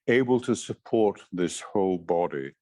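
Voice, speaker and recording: A man leading a guided meditation as a teacher, giving gentle, measured instructions in short phrases with pauses. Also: sits low, animated, dry recording.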